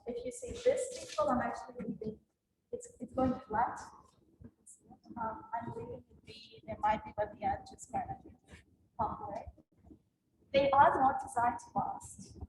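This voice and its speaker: A woman's voice: high voice, very animated, moderate pace, gravelly, long pauses. A woman whose first language is Russian, talking as an exhibiting artist about her installation in a hesitant, reflective way with frequent small laughs. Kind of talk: gallery artist talk. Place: gallery exhibition space. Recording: echoey gallery with faint room noise.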